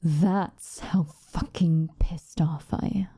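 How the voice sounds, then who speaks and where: A woman playing a centuries-old female vampire, possessive and vengeful, in a soft, breathy, intense ASMR roleplay. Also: deep voice, fairly even intonation, no room sound, studio quality, heavily acted. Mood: bitter, scornful anger. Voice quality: soft, breathy, often whispered. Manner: intense, dramatic, slow with long pauses.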